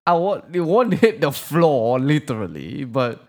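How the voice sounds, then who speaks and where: A man who grew up in Indonesia speaks English as a second language. His chat is easygoing and teasing, with frequent laughter. His pitch moves up and down a lot. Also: very animated, measured pace. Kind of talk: casual couple chat.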